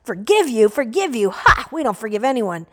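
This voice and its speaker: A woman voicing a rigid, hostile male gate guard who shouts orders. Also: on the high side, sing-song, normal speed. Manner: gruff, shouting.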